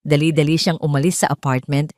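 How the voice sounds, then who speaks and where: A woman's voice: low-pitched, little pitch movement, a little fast, a little mellow, dry recording, clean studio sound. A woman storyteller giving a dramatic, scripted narration of a story.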